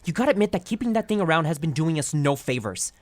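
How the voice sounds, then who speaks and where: A woman's voice: sits low, animated, fast talker, dry recording. A woman playing a skeptical, uneasy circus performer in a radio drama, conversational and pointed. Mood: accusing.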